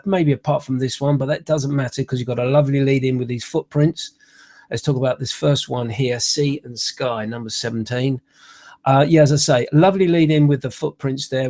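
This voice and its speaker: A man's voice: medium pitch, darkish tone, dry recording. A middle-aged man with a cold, sounding snuffly, giving a chatty, hesitant photography critique over a webcam in a small room. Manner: chatty, hesitant, many uhs and restarts. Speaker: photography tutor. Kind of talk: live online workshop critique. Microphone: webcam on a computer.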